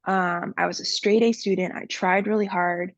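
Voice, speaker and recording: A woman talks openly as a podcast guest, her voice carrying a gravelly fry and a steady middle pitch. She speaks earnestly, with plenty of "um" and "like". The sound is close, dry and a bit dull. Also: normal speed, dry recording. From Miami, USA. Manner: earnest, candid, many fillers. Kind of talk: personal interview talk.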